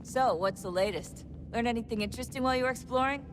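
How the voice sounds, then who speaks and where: An actress playing a considerate, curious young teammate in a video game, speaking in a conversational, tactful way. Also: female voice, on the high side, fast, natural voice. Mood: casual. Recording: background ambient noise.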